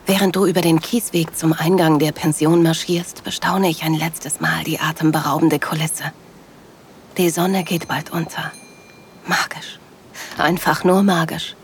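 A woman playing a loving, sensual middle-aged wife, narrating an intimate audio drama in a soft, dreamy manner. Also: low-pitched, steady tone, unhurried, slight background noise. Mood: awed, tender.

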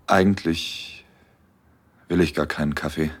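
A man playing a smitten young man in an intimate romantic audio drama, speaking softly and a little shyly as narrator and character. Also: extremely low pitch, fairly even intonation, unhurried. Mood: tender longing. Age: young adult.

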